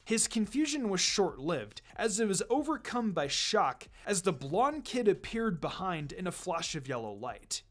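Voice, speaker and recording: A man's voice: high voice, big pitch swings, measured pace, hardly any pauses, a bit bright. A man reading an adventure story aloud as narrator, in a dramatic scripted delivery. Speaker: narrator, fan fiction reader.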